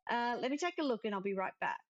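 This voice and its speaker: A woman's voice: fairly high pitch, animated, quick pace, lo-fi sound, faint music behind, close to an everyday voice. A woman reading the part of a friendly young witch, unsure and apologetic, in a cozy visual novel. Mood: sheepish, tentative.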